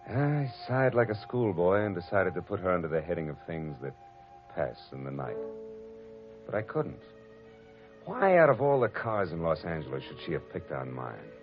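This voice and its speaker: An actor playing a hard-boiled male private detective who narrates with a dry, wry delivery in a 1940s radio drama. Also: a bass voice. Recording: muffled old radio broadcast.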